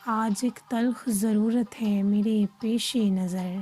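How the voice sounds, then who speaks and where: A woman reciting and presenting poetry in a measured, deliberate way. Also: medium pitch, fairly even intonation, mellow. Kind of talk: expressive Urdu poetry recitation with explanatory introduction. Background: faint background music.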